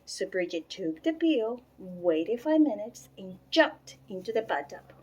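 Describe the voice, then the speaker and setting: A woman reading a children's storybook aloud with expressive, deliberate phrasing. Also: medium pitch, husky, dull audio. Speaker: storybook reader.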